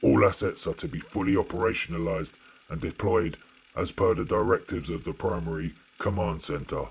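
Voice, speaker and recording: A man narrates scripted analog horror in an extremely deep register. His voice is very husky and rough, with a gravelly grain. He speaks deliberately, with a grave, ominous delivery. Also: fairly even intonation.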